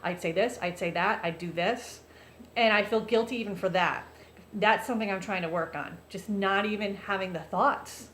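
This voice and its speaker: A loud-voiced woman speaks to her viewers in an earnest, chatty, confessional way. Her voice carries through a roomy space with a faint hiss underneath. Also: mid-range voice, normal speed. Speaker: vlogger. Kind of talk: casual confessional talk to viewers. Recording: roomy echo, faint background noise.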